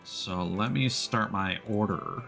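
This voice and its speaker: A man's voice: fairly low pitch, slow, gravelly, sharp tone, faint music behind. A man giving casual live game-stream commentary, thinking aloud in a hesitant, rambling way. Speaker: streamer, VTuber.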